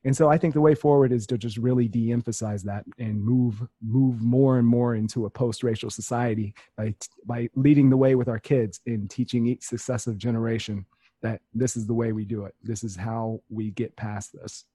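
A man speaking as a guest in a casual conversation, explaining his views in a measured, earnest and deliberate way. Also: mid-range voice, fairly even intonation, dark tone, breathy edge, no room sound.

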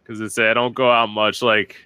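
Bright, sassy man from Florida. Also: fairly low pitch, little pitch movement, moderate pace, smooth, dry recording. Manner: chatty, sassy, full of "like". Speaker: podcast host. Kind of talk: casual interview chat.

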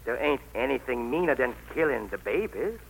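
An actor playing a servile, sly court magician in a folksy dialect, in 1940s radio style. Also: male voice, medium pitch, animated, moderate pace, gravelly, slight background noise, rough recording. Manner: folksy Southern dialect, deferential. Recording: 1940s radio broadcast recording.

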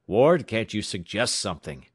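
A man's voice: deep voice, lively intonation, normal speed, no echo, studio quality. A man voicing a middle-aged railway superintendent, hearty and commanding, in an audiobook story. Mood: sharp, urgent. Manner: hearty, then urgent and clipped.

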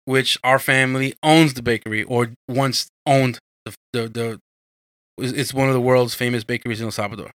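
A man's voice: medium pitch, steady tone, moderate pace, fairly bright tone, no echo, studio quality. A man from the United States hosting a podcast in a casual, chatty style. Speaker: podcast host. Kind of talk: casual chat.

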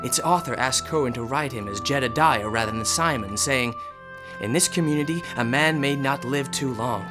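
A man narrating an eerie historical radio drama as a grave storyteller, formal and deliberate in delivery. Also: mid-range voice, close to an everyday voice. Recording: clear dramatic music underneath.

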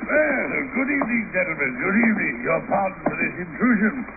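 A man's voice: high voice, very animated, fairly quick. An actor playing a suave, falsely friendly man of intrigue in an old radio drama, with florid, over-polite manners. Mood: courteous, confiding. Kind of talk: 1950 radio drama acting. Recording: hissy, tinny old radio broadcast recording.

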